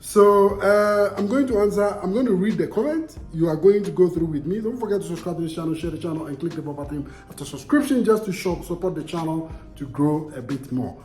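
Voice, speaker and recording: A man's voice: high-pitched, brisk, few pauses, a little mellow, roomy sound, faint music behind. A young Ghanaian man with a Ghanaian accent, vlogging casually and answering viewer comments in an emphatic way, repeating himself and asking rhetorical questions.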